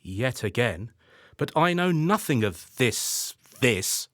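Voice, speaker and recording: Resigned husband, dry and deferential, slow, wavering pitch, radio drama. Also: male voice, very animated, fairly bright tone, no echo. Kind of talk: radio play acting.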